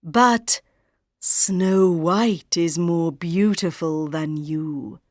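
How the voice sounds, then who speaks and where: A woman voicing a magic mirror that speaks low, quiet and measured. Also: no room sound, studio quality. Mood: solemn. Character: calm, truthful magic mirror.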